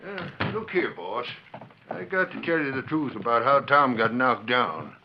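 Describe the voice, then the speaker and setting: An actor playing a loyal, hesitant cowhand in a 1940s radio western, talking in folksy, deferential frontier style. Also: male voice, medium pitch, lively intonation, a little slow. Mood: hesitant, worried. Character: loyal, hesitant old-time cowhand, blunt but deferential. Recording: muffled, noisy old 1940s radio recording.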